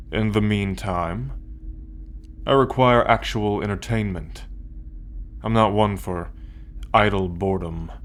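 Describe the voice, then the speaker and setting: A man's voice: fairly low pitch, a little hiss or hum, close to an everyday voice. A man playing an arrogant, possessive alien military conqueror in an ASMR roleplay, commanding and condescending as he addresses the listener. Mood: imperious. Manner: commanding, condescending, slow deliberate delivery.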